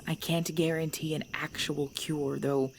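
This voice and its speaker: A woman playing an ancient, composed vampire in a supernatural ASMR drama, speaking in measured, formal, deliberate phrases. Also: sits low. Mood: calm, enticing. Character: ancient female vampire, composed, knowing, darkly playful. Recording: steady background noise with chirping crickets.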